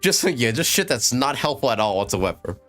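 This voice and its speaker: A man's voice: mid-range voice, very animated, a little fast, fairly bright tone, faint music behind. Crude, laughing male game streamer. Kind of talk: casual live game commentary.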